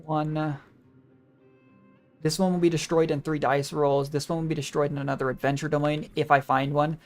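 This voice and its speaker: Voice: medium pitch, little pitch movement, a little fast. A game streamer giving casual gameplay commentary, rambling and thinking aloud. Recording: game music behind the voice. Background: video game music.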